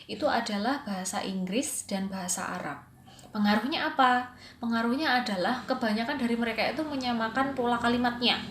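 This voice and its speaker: A woman's voice: on the high side, fairly bright tone. A woman with a Javanese regional accent in Indonesian, a schoolteacher giving an informal explanatory presentation, conversational, posing questions and answering them herself. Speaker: elementary school English teacher presenting coursework. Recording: roomy echo, faint background noise.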